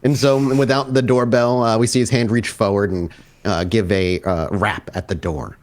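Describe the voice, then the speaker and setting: Guy with a lowish voice running a tabletop rpg, describing the scene as he goes. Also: fairly even intonation, moderate pace. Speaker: storyteller, game master of a tabletop roleplaying podcast. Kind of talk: improvised game narration, descriptive.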